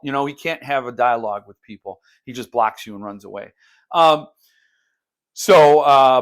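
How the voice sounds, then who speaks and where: A man hosting a book vlog, talking informally and haltingly as he thinks aloud. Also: medium pitch, a bit bright. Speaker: host of a book unboxing channel. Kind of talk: casual unscripted book vlog chat.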